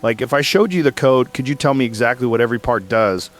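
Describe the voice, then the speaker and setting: A man's voice: mid-range voice, quick pace, close to an everyday voice. A man playing a blunt, skeptical talk show host with a casual, challenging way of interviewing. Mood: skeptical, pressing.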